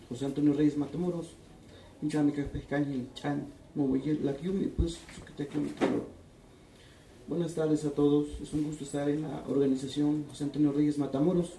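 Man with a dark voice, reading from far off. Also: on the high side, moderate pace, creaky, a little hiss or hum. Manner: calm, steady reading voice. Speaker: writer reading his own text. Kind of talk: literary reading at a public event. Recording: echoey room, distant mic.

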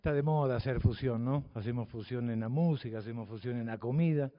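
A man's voice: mid-range voice, fast. An older man from the Southern Cone with a Southern Cone Spanish accent gives a reflective, provocative public talk to an audience as a mathematics teacher. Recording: dull audio from a reverberant hall.

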